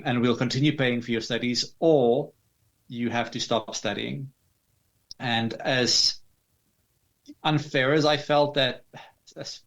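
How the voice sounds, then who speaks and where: A man with a South African accent answering interview questions in a measured, explanatory way with formal wording. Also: medium pitch, dull audio. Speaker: interview guest, digital artist.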